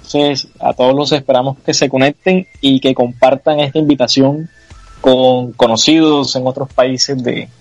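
A man's voice: mid-range voice, darkish tone, no echo. A male radio co-host agreeing with the host and inviting listeners to join in. His sound is a little dull and dry, with faint music under it. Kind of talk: live radio talk show.

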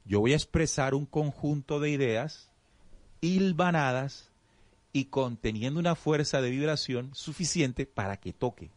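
A man's voice: medium pitch, unhurried, dry recording. A man teaching spiritual ideas in casual conversation, reflective and explanatory, often restarting his sentences. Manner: addresses the audience as "usted".

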